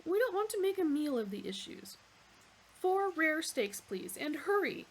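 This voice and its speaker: A woman's voice: fairly high pitch, big pitch swings, normal speed, gravelly, husky, exaggerated voice, poor audio. A woman voicing a brash, impatient, greedy male adventurer.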